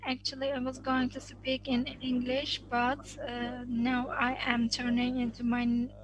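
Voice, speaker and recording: A woman's voice: fairly high pitch, monotone, measured pace, lo-fi sound, crowd noise. A woman giving live simultaneous interpretation of a conference talk, delivering it phrase by phrase with frequent self-corrections. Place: conference summit.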